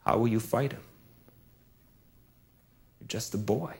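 Man playing a grave old king, low and quiet, doubtful. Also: takes it slowly, vocal fry, breathy edge, heavily acted. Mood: stern. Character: stern older king, doubtful and commanding.

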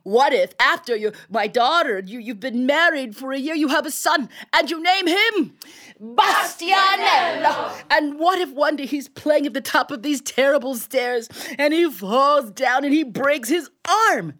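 A woman's voice: high-pitched, lively intonation, unhurried, fairly bright tone. A woman voicing a gullible grocer, the bride's worried father, earnestly lamenting in a folk tale.

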